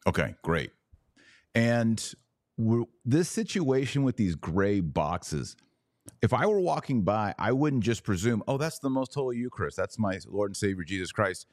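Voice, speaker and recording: A man's voice: on the low side, sing-song, moderate pace, darkish tone, no room sound, studio quality. A man hosting a podcast, giving live commentary and interviewing. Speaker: podcast host.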